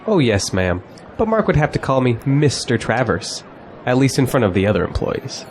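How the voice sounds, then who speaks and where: A man's voice: medium pitch, sing-song, moderate pace, slight background noise, natural voice. A man playing a cocky, exuberant young college graduate who is polite to elders, in an audio drama. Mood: confident. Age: young adult.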